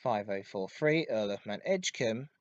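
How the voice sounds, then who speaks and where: A man hosting a hobby podcast, giving an informative enthusiast monologue in a halting way with stressed intensifiers. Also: on the low side, animated, dry recording, highs cut off. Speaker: podcast host. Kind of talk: informative enthusiast monologue about railway history.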